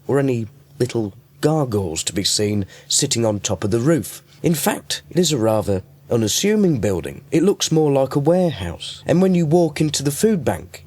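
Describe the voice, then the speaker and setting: A man's voice: lowish voice, darkish tone. A man working as a radio reporter, reading scripted narration and putting interview questions.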